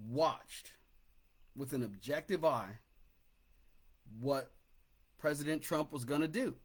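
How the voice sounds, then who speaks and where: Higher-voiced American man talking politics. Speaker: political commentator and author. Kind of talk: personal political talk.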